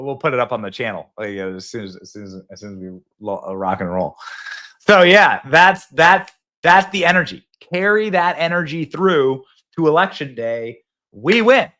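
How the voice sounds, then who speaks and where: A man hosting a live political talk show from a phone, excitable and rambling, often repeating himself. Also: on the high side. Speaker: host.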